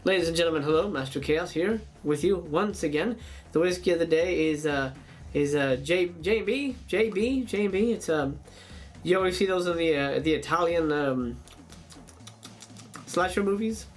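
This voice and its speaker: A man's voice: on the high side, moderate pace. A man hosting an online show in a casual monologue, with theatrical, rambling showman patter. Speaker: YouTube show host.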